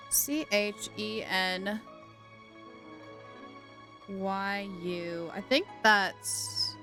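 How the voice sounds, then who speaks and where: A woman streaming a game, chatting animatedly and thinking out loud. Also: mid-range voice. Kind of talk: casual live-stream commentary.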